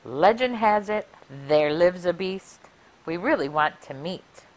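A middle-aged woman reading a rhyming picture book aloud for children in an expressive, deliberate, sing-song way. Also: on the low side, poor audio. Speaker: library story time reader. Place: small furnished room indoors. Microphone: clip-on lapel mic.

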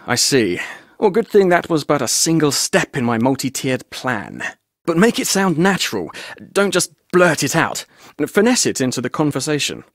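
Lively, glib, roguish tour guide. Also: male voice, a little high, dry recording, studio quality. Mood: glib, scheming. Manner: glib, jaunty, theatrical storyteller. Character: chatty, roguish, wisecracking male tour guide. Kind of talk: video game character dialogue.

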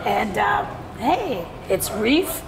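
A middle-aged woman talking in an interview in a hotel lounge, lively and quick to laugh. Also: mid-range voice, vocal fry, crowd noise. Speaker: hotel staff guest. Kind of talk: interview chat.

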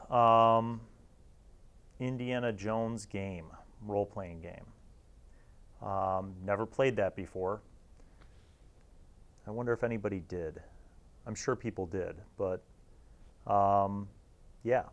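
A man's voice: on the low side, steady tone, slow pace, long pauses. A middle-aged man hosting a casual review to camera, talking in a rambling, reflective way. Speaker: video host and reviewer.

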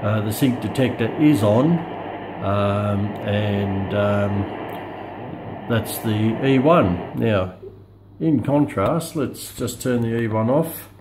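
Australian man, middle-aged, slow hesitant delivery, casual hobbyist demo, phone camera audio, heavy static noise. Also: on the low side. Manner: hesitant, halting, thinking aloud. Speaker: radio hobbyist presenting a video test. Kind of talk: casual unscripted product demonstration. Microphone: phone camera held back from speaker. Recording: loud radio static and hiss, phone camera. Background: shortwave radio noise.

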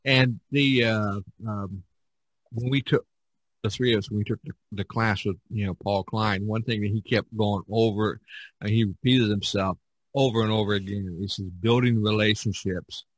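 Low-voiced man, rambling podcast host. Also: steady tone, moderate pace, dry recording, poor audio. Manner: rambling, repeats phrases. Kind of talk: casual unscripted podcast chat.

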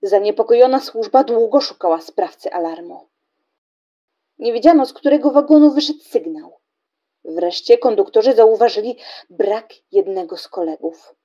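A woman's voice: fairly high pitch, expressive, normal speed, mellow, highs cut off. A woman giving dramatic scripted narration as the narrator of a radio play.

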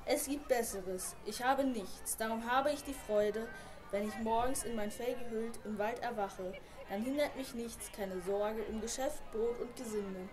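A woman plays a young religious idealist in an amateur radio play. His voice is fairly high, and he declaims earnestly and devoutly. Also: unhurried, crowd noise, natural voice. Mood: earnest, pleading. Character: devout, idealistic young man embracing poverty.

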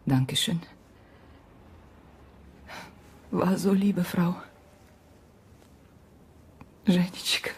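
Husky, breathy Ukrainian woman, sorrowful. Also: fairly low pitch. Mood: weary, sad. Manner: halting, broken German with simple syntax. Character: sorrowful Ukrainian immigrant woman, hospital worker, gentle and weary. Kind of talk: naturalistic radio drama acting.

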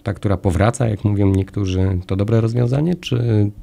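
A middle-aged man hosting a radio interview in a small studio, speaking close into a desk microphone. His voice sits very low and sounds dark and mellow. He asks long, winding questions and builds them out of everyday comparisons. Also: normal speed, vocal fry. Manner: long, discursive questions with analogies. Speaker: radio host. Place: small radio studio with orange acoustic panels. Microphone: studio mic on a desk arm, wearing headphones.

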